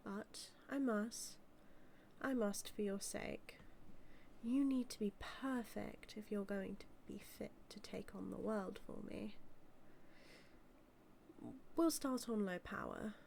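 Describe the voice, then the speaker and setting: Woman playing a slow, creaky-voiced British mad scientist. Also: mid-range voice, a little mellow, a little hiss or hum. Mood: tender, regretful. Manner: measured, musing, condescending, tender toward her creation. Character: doting female mad scientist, villainous, coldly ambitious. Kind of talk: scripted audio roleplay monologue.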